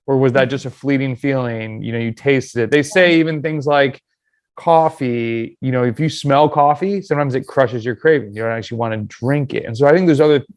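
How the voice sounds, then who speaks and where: A man in his late thirties hosting a casual podcast, chatting in an animated, storytelling way. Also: medium pitch. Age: 30s. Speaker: podcast host.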